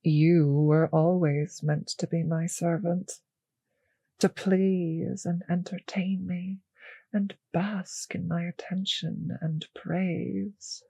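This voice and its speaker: Woman doing a possessive vampire mistress for roleplay audio, breathy and a bit crackly, unhurried, talks down to you, close dry sound. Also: lowish voice, fairly even intonation, mellow, no room sound, heavily acted. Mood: possessive, doting. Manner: slow savouring, patronizing, breathy murmurs. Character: possessive, condescending vampire mistress, coolly cruel. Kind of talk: roleplay audio drama, intimate speech to listener.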